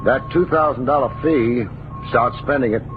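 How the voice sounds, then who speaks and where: An actor playing a wisecracking, hard-boiled private detective of about forty in an old radio drama, wry and clipped. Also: male voice, mid-range voice, moderate pace, lightly acted. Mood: breezy. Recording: dull old radio recording with music behind.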